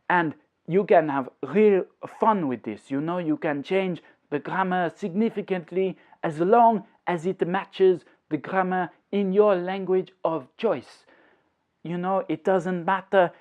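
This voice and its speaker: A language tutor giving a video lesson, explaining didactically, repeating points, checking in often and dropping words into English. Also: medium pitch. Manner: checks in with "okay?" and "you know". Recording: thin, narrow phone-like sound.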